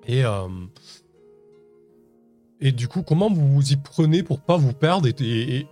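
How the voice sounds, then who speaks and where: A man acting as game master, improvising narration for a tabletop roleplaying game in a hesitant, halting way with repeated words. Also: mid-range voice, lively intonation, dark tone. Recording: music playing under the voice.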